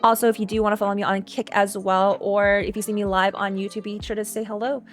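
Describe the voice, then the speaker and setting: A woman's voice: mid-range voice, little pitch movement, fast. A woman streamer giving casual reaction commentary, thinking aloud and repeating herself in a chatty way. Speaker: streamer reacting to a game story.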